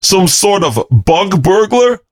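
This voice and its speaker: A man's voice: high-pitched, very animated, slow pace, no echo, voice pushed up, exaggerated voice. A man voicing an angry, foul-mouthed apartment caretaker who threatens someone with a shotgun. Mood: angry barking. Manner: gruff, foul-mouthed, barking.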